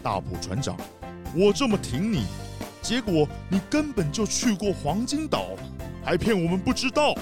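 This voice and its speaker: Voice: mid-range voice, huge pitch swings, normal speed, music in the background. An actor playing an indignant, blustering male city mayor in a children's radio drama.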